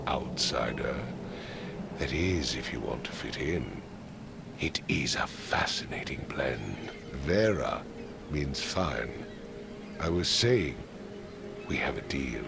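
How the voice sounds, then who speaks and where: An actor playing a haughty, impatient middle-aged patrician father in a video game, speaking formally and curtly in a gravelly voice. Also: extremely low pitch, expressive, slow, heavy background noise, exaggerated voice. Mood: haughty, scornful. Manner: imperious, formal, curt. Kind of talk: dramatic video game voice acting.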